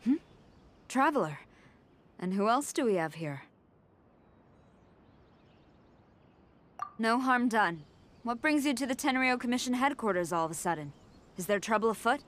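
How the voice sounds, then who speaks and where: A woman voicing a dutiful female army general in a video game, composed, earnest and formal, with measured authority. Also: a little high, animated, a bit bright, a little hiss or hum. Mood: composed, courteous. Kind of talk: video game character acting.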